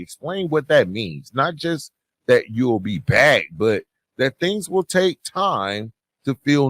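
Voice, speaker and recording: A man with a fairly low voice that moves through a lively range of pitch. He speaks as a podcast host giving earnest, measured advice straight to his listeners. The recording is dry and close, with no room sound. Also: no room sound. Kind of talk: reflective advice talk to listeners.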